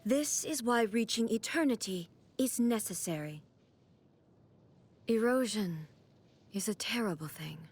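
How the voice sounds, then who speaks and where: Regal, high-voiced immortal thunder ruler. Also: female voice, husky. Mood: solemn, commanding. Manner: formal, measured, imperious. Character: regal, stern female immortal ruler of thunder, formal and composed. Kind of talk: video game character voice lines.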